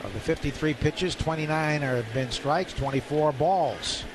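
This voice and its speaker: A man with a fairly high voice delivers live baseball play-by-play for radio in short, clipped phrases. Loud ballpark background noise runs behind him throughout. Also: a little slow. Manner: clipped phrases, rising to excited calls on big plays. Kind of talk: live radio sports commentary. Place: ballpark broadcast booth.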